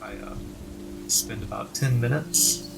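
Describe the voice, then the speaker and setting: A man playing a tabletop game, talking in character in a measured, polite, slightly hesitant way. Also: mid-range voice, creaky. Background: background music.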